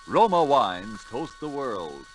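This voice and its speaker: A man's voice: mid-range voice, sing-song, slow pace. An American man reading a scripted 1940s radio advertisement as announcer, with a polished, emphatic salesman's delivery. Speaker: commercial announcer. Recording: 1940s radio broadcast, faint music.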